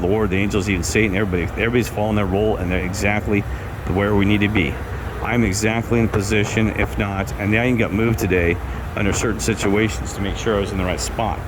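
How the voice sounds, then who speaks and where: Low-voiced guy rambling on about spiritual stuff, lots of restarts, noisy outside recording. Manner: rambling, repeats himself, restarts sentences. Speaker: vlogger.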